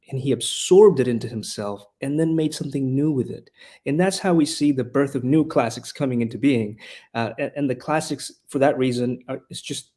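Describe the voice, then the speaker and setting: A man's voice: medium pitch, warm tone. A man from Honduras, a guest on a podcast interview who teaches rhetoric and speaks earnestly and with passion, often restarting his phrases. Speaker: guest, classical school leader and rhetoric teacher.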